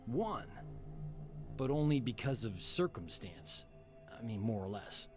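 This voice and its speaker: An actor voicing a level-headed, knowledgeable man in a video game, measured and matter-of-fact. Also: mid-range voice, sing-song. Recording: music under the voice.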